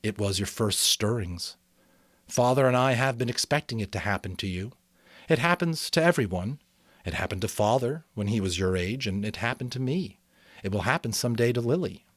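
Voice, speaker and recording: A man voicing a gentle, reassuring mother who explains patiently in a novel reading. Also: mid-range voice, lively intonation, normal speed, dry recording, lightly acted. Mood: gentle, explaining.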